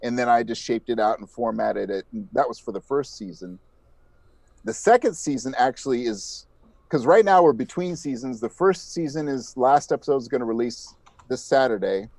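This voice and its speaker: A man's voice: mid-range voice, animated, moderate pace, a little mellow, dry recording. A man talking casually as an interviewed podcast creator.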